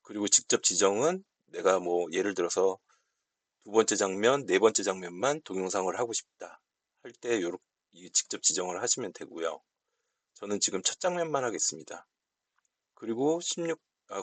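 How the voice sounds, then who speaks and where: A man giving a casual, unscripted software walkthrough, explaining politely step by step. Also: lowish voice, no echo. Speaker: software tutorial presenter.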